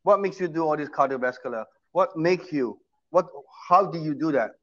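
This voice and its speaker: A man's voice: on the high side, brisk, fairly bright tone. A man in his sixties, talking casually and emphatically, often repeating his points. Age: 60s. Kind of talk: casual chat. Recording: muffled lo-fi audio.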